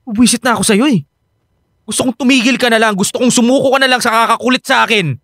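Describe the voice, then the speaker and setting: An actor playing an irritable, evasive husband in a Tagalog radio drama, with a Filipino accent and halting delivery. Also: male voice, very high voice, fairly even intonation, fairly bright tone, dry recording, clean studio sound, heavily acted. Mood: exploding in anger. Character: exasperated, conflicted husband dodging his wife's questions.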